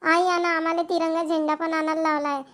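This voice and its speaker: Eager young schoolgirl, acted, very high voice, cartoon. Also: flat delivery, a little fast, a bit bright. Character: eager little schoolgirl talking to her mother. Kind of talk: cartoon dialogue.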